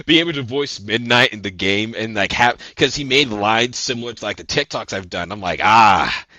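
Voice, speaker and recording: A man talking as a guest voice actor in a casual interview, animated and rambling with lots of fillers. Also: fairly low pitch, normal speed, no echo.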